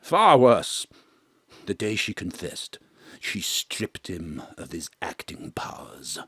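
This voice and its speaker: A pompous veteran stage actor, played by a man in an audio drama, bombastic and theatrical. His husky voice leaps all over the place between booming and hushed. The sound is dry and close. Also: sing-song, takes it slowly, no room sound, heavily acted. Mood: melodramatic. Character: pompous grand old male stage actor, florid and melodramatic. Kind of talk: live remote audio drama acting. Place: home studio.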